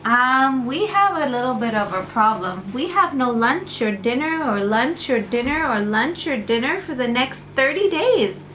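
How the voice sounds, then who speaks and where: A woman's voice: high-pitched, sing-song, measured pace, hardly any pauses, a bit bright, slight background noise, exaggerated voice, low-quality recording. A woman voicing a dramatic, earnest young hero of a bedtime story, plaintive and full of exclamations. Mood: worried.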